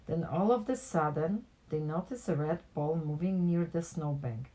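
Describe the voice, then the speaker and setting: A woman reads a picture book aloud in an expressive storytelling style for children. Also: sits low, normal speed, poor audio. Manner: animated character dialogue with plain narration.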